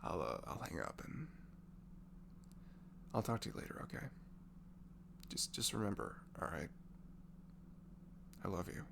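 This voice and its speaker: A young man softly leaving an intimate, improvised comfort message in ASMR style, tender and reassuring, murmuring and often slipping into a breathy whisper. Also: deep voice, big pitch swings, a little slow, pauses often. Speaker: amateur audio performer playing a comforting friend. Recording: clearly noisy home recording with hum.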